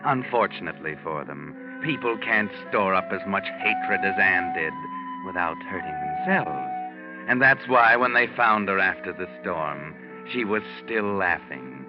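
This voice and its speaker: Sardonic, deep-voiced American mystery storyteller. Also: male voice, expressive, a little slow, music in the background. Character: sardonic omniscient mystery storyteller, coolly gloating. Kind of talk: 1940s radio scripted narration. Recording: muffled 1940s radio recording.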